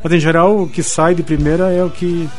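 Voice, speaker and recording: A man with a Curitiba accent, a guest illustrator answering radio interview questions in quick, eager bursts full of restarts. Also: a little high, steady tone. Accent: Curitiba Brazilian Portuguese. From Curitiba, Brazil. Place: radio studio.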